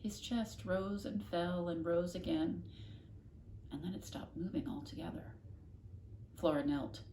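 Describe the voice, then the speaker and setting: A woman reading a children's novel aloud expressively, with dramatic, deliberate emphasis. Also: lowish voice, mellow. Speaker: storybook reader, classroom host. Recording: echoey room, faint noise.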